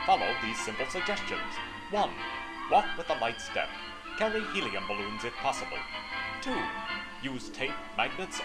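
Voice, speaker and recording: Earnest spoof-ad announcer over loud music. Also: male voice, mid-range voice, low-quality recording. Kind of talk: mock commercial read.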